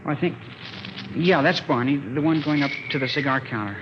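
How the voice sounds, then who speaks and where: Actor as polite, higher-voiced teenage boy. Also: normal speed, lightly acted. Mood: nervous, cooperative. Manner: deferential, tentative. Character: hesitant, polite sixteen-year-old boy informant. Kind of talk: 1950s radio drama acting. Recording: dull, noisy old radio broadcast.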